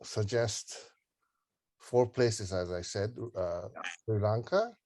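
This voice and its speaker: Halting middle-aged man on a group call. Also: lowish voice, darkish tone. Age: 50s. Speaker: organiser leading a group planning call. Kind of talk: casual group call discussion.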